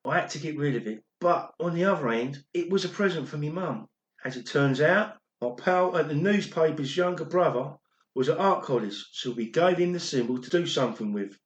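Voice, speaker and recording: A man's voice: on the high side, animated, a little fast, dull audio, lightly acted. A man playing an older, regretful former pop drummer who confesses an old secret in an amateur radio play. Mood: reminiscing. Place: recorded alone at home, indoors. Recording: roomy home recording.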